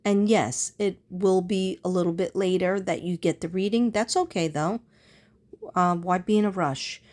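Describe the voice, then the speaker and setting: A woman talking casually to viewers in an unhurried, meandering way, thinking aloud as she goes. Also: on the low side, darkish tone. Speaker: psychic reader and vlogger. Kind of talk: casual rambling vlog talk to viewers.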